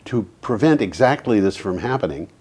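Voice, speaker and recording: A man's voice: on the low side, animated, fairly quick, darkish tone, slightly rough. A man co-hosting a local community TV talk show about energy, telling stories in a rambling way, restarting sentences and trailing off. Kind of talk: casual studio chat.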